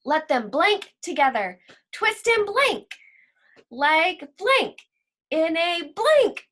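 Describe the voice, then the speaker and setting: High-pitched woman teacher, unhurried pace. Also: very animated, fairly bright tone. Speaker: school library teacher. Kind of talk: lesson for children, with poem read-alouds.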